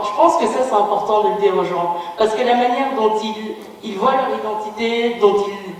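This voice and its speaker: Woman speaking earnestly in a hall. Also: medium pitch. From Belgium. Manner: earnest, searching, many restarts and fillers. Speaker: conference participant. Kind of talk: public speech at a conference. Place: large parliament hall. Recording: reverberant hall, distant mic, faint room noise.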